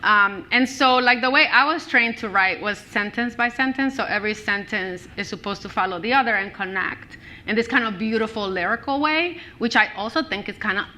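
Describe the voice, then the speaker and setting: Woman, Dominican accent, Spanish native, bright slightly high voice, chatty live Q&A. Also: a little fast, hardly any pauses. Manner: chatty, laughs often, lots of "like" and "you know". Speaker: author at a book talk. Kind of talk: casual live Q&A answers. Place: bookstore event space, live audience.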